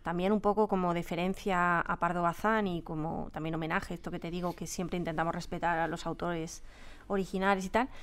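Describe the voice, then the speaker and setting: A woman's voice: on the low side, smooth. A woman with a Castilian Spanish accent, a radio drama creator answering interview questions conversationally in a studio.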